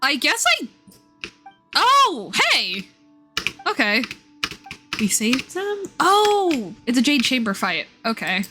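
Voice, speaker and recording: A woman's voice: sits high, very animated, slow pace, long pauses, over music. A woman livestreaming a game in casual chat, with a giggly, playful manner, sarcastic asides and some swearing. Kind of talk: casual chat, reacting to game story while playing.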